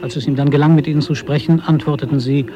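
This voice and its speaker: A man acting an earnest, reflective adventurer in a radio drama, speaking in a measured, introspective way. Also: a little high, steady tone, crowd noise, natural voice. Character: earnest, reflective male adventurer setting out for the Pole.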